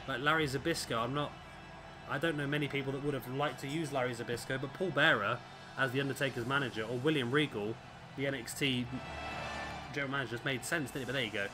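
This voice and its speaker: A man with a raspy, fading voice, commentating on a wrestling video game as the show's host. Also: medium pitch, fast, over music. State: hoarse, voice fading. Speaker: host and commentator of a gaming series. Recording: clearly noisy background.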